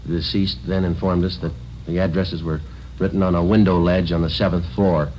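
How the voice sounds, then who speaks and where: Actor as low-voiced deadpan police sergeant. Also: male voice, a little fast, natural voice. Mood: flat, formal. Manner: deadpan, terse, formal. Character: stoic no-nonsense male police sergeant. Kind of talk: 1950s radio drama acting. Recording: muffled, noisy old radio recording.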